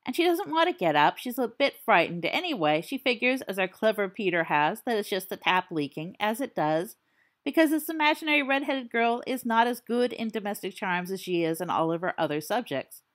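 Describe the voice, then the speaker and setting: A woman's voice: mid-range voice, very animated, brisk, lightly acted. A woman voicing a cocky teenage boy who tells a spooky story in a theatrical, teasing way.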